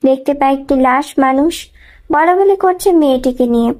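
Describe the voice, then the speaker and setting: A woman's voice: high voice, normal speed, a little mellow. A woman reading scripted narration of a dramatic thriller story as a storyteller.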